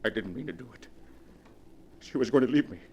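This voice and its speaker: An actor playing a desperate, volatile wealthy husband in a 1940s radio melodrama, with an overwrought manner. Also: male voice, mid-range voice, expressive, brisk, a little mellow, slightly rough, lo-fi sound. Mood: stunned, despairing. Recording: vintage 1940s radio broadcast.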